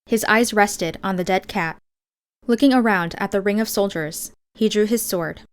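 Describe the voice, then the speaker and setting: A woman's voice: mid-range voice, normal speed, smooth. A woman reading scripted first-person fiction as an audiobook narrator.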